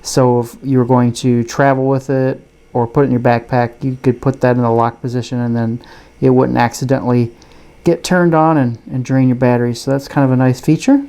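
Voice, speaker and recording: A man talks through a gadget review in a soft, mellow voice with a rounded tone. He explains each feature plainly and casually, taking his time. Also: mid-range voice, flat delivery, brisk, hardly any pauses. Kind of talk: casual product demonstration.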